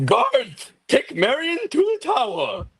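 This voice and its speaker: Man playing a high, swooping, stern medieval sheriff. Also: wildly swooping pitch, takes it slowly, gravelly, heavily acted. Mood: commanding. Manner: commanding, formal. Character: stern medieval sheriff pronouncing a death sentence.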